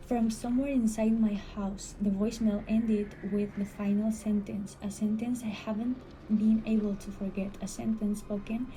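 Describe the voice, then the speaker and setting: A woman's voice: medium pitch, little pitch movement, moderate pace, few pauses, dark tone, a little hiss or hum, low-quality recording. A woman reading a scripted first-person horror story as a storyteller.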